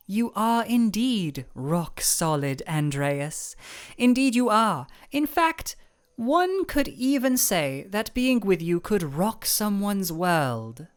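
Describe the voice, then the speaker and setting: A woman playing a cool, composed young ice royal with dry wit in a fantasy audio roleplay, speaking in a measured, formal, teasing way. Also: medium pitch. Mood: deadpan, wry.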